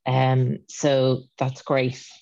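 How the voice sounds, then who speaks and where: A woman's voice: rumbling low, completely flat delivery, very slow, creaky, dark tone, no echo. A woman hosting a podcast, asking questions in a friendly, conversational way. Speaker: podcast host. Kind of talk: casual interview chat. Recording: dry, slightly dull sound.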